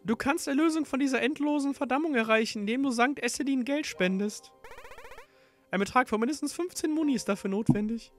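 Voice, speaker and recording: A man's voice: very high voice, fairly quick, faint music behind, voice pushed up. A man voicing a solemn, pious nun who preaches about donations and salvation, reading in a pompous sermon tone.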